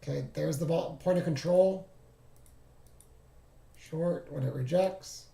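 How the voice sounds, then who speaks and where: A man walking through his charts on a casual screen-share, thinking aloud and often stopping to correct himself. His voice is gravelly and fading, and he sits a little high with a mellow, darkish tone. The room adds a clear echo. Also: slow. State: losing his voice. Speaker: trading streamer.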